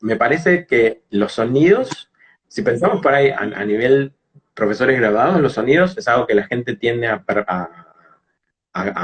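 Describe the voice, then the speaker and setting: Husky Rioplatense Argentine man explaining. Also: lowish voice. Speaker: English teacher and phonetics specialist being interviewed. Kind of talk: explanatory interview talk.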